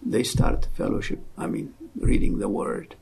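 A man in his seventies from Ethiopia, with an Ethiopian accent, giving an earnest, fervent faith testimony as an interview guest and often dropping to a soft hush. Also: measured pace. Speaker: guest, missionary.

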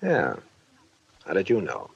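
A man's voice: deep voice, wildly swooping pitch, a little slow, vocal fry, husky, exaggerated voice. An actor playing a guarded, curt American married man of means, in 1940s radio drama style. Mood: uneasy. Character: guarded, businesslike married man, a well-off engineer. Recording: dull 1940s radio recording.

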